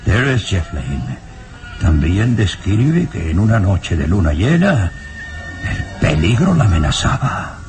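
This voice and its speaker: Nervous professor, deep voice, slow gasping self-talk, widely swinging intonation, old radio serial, music behind. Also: male voice, sing-song, heavily acted. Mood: fearful. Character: frightened professor talking himself into calm, trapped in castle. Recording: radio drama with music underneath.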